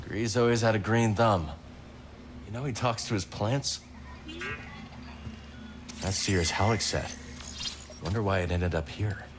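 Man as a low-voiced weary young hero. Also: unhurried, long pauses, music in the background, natural voice. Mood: musing. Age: young adult. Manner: understated, subdued. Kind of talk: naturalistic video game acting.

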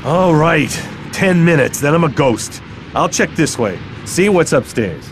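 A man's voice: on the high side, expressive, unhurried, noisy background. An actor voicing a hard, cynical male mercenary who speaks in terse threats in a game. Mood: cold. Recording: game audio with loud music.

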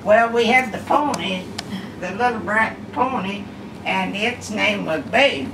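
Elderly great-grandmother, unhurried rambling storyteller; roomy, distant home recording with background hum. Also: medium pitch. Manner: slow, rambling storytelling with many uhs. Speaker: great-grandmother telling family stories. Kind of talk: casual reminiscing. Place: living room at home, seated in armchair. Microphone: camera across the room. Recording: echoey room, far camera, background hum.

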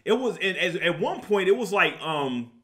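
A man's voice: sits high, very animated, moderate pace, a bit bright. A middle-aged man co-hosting a casual movie review, talking in a hesitant way that repeats phrases and circles back. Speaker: co-host of a movie review show. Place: indoor room, seated before a projection screen.

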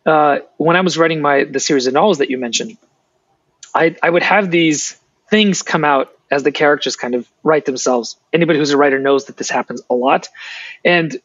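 A man's voice: on the high side, fairly quick, a bit bright, no echo. A man from San Francisco whose first language was Russian, chatting as an interview guest in a rambling way. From San Francisco, United States. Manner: chatty, rambling, restarts sentences. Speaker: guest author and translator. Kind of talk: casual interview talk. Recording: dry, dull lo-fi sound.

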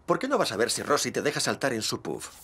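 A man's voice: mid-range voice, sing-song, fast, a bit bright, breathy edge, full character voice. A man voicing a patient, encouraging young father with a Castilian Spanish accent in a children's cartoon dub. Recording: music bed behind the voice.

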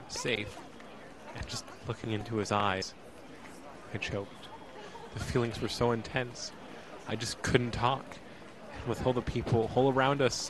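A man playing a frightened young man, narrating a tense ordeal in first person in an audio drama, halting and intense. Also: medium pitch, background noise, close to an everyday voice. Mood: choked, tender. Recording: busy airport crowd behind.

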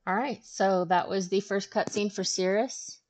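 A woman hosting a casual game walkthrough, speaking with hesitant pauses mid-sentence. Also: mid-range voice, steady tone, darkish tone. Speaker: walkthrough host.

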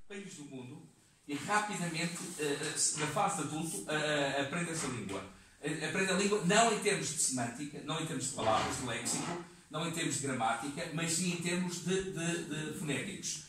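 A man in his fifties with a European Portuguese accent, giving a halting classroom lecture full of fillers, recorded from across the room. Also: on the high side, lively intonation, noisy background. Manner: halting, many fillers and restarts. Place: community classroom with seated audience. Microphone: far camera in the room. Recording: echoey room, voice from a distance.

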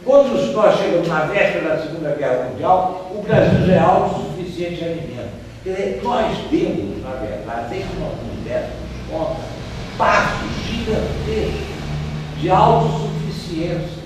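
An older Brazilian man giving a seated, conversational history lecture, pausing at times to search for names. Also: high-pitched, big pitch swings, recorded from a distance, background noise. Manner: conversational, gestures as he talks, trails off searching for names. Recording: echoey room, voice from off-mic.

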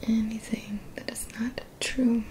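A woman in her thirties whispers gentle, soothing affirmations as an ASMR energy healer, in a soft, breathy voice close to the mic. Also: on the high side, steady tone, a little hiss or hum. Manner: gentle, slow, deliberate phrasing with pauses. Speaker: ASMR energy healing practitioner. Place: small dim indoor room. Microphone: close mic, seated near the camera.

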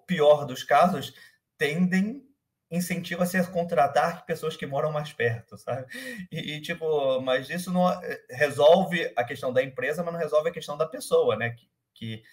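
A man in his thirties speaks with a carioca accent worn down by years in São Paulo. He explains things in a loose, hesitant way, stopping to restart his sentences and filling pauses. The sound comes from a webcam on a video call at home. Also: mid-range voice. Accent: carioca Brazilian Portuguese, softened by years in São Paulo. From Rio de Janeiro, Brazil. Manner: hesitant, many fillers and restarts. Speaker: guest, company manager. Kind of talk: casual interview conversation. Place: room at home, indoors. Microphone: webcam or laptop mic on a video call.

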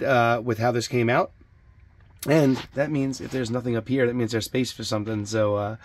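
A man's voice: medium pitch, no room sound. Chatty man vlogging from his toy room. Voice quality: relaxed, filler-heavy, chatty delivery. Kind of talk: casual vlog walkthrough. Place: home toy room, in front of green screen.

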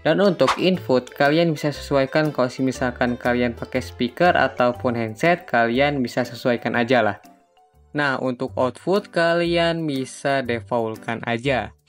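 A man from Indonesia, giving a casual, informal step-by-step software tutorial. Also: mid-range voice, measured pace. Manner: informal, repetitive step-by-step instructing. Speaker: tutorial host. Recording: music behind the voice. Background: background music.

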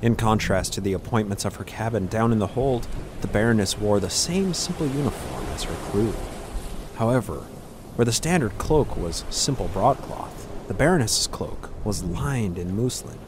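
A man narrates a fantasy tale from a script. His voice sits a little low, and there is faint noise in the recording. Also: lively intonation, normal speed.

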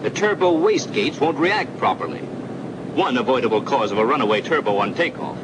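A man narrating a 1940s military training film in scripted second person, explaining procedures in an instructive way. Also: on the high side. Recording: old film soundtrack with engine drone. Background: aircraft engine noise.

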